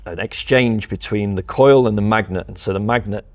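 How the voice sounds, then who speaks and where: A man's voice: on the low side, a little fast. A man giving a step-by-step technical explanation as a company representative presenting audio products.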